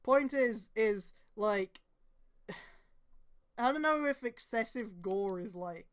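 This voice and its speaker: A young woman reading a web page aloud in casual chat, sarcastic and swearing, with sudden loud outbursts. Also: medium pitch, lively intonation, slow, crowd noise. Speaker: host.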